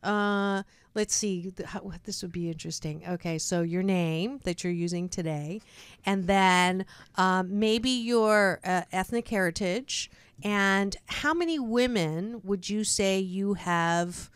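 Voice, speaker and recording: A woman's voice: medium pitch, takes it slowly, clear, resonant tone, no room sound. A middle-aged woman hosting a radio talk show, chatty and bubbly, laughing often and restarting her sentences. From Canada. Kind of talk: casual radio chat with guests.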